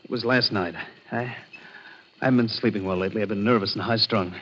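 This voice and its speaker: A man playing a high-strung, frightened young chemist who pleads in a 1940s radio drama style. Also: fast, a bit bright, slight background noise, lightly acted. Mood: haunted, fearful. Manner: agitated, pleading, haunted. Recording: muffled 1940s radio recording.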